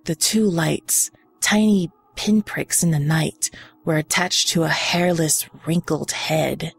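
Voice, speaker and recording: A woman giving a dramatic, suspenseful first-person reading of a horror story, pausing deliberately for emphasis. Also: low voice, creaky, husky. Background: background music.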